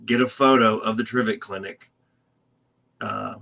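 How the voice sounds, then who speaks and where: A man's voice: lowish voice, very animated, measured pace, creaky, bright, forceful tone. A man hosting a casual paranormal podcast, talking loosely with many ums and restarts. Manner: rambling, loose, filler-heavy. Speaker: team manager and podcast host.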